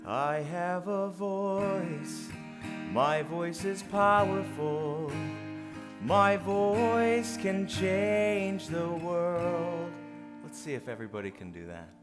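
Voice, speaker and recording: A man teaching a short song at a synagogue service, speaking easygoing asides and singing each line slowly for others to repeat. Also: high voice, lively intonation. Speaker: song leader. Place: synagogue sanctuary. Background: guitar.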